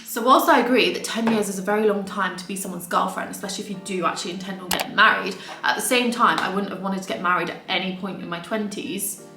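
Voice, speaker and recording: A woman's voice: mid-range voice, fast talker, few pauses, echoey room, over music. A woman in her late twenties, a vlogger talking candidly in a casual get-ready-with-me monologue, with exasperated asides. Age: late 20s.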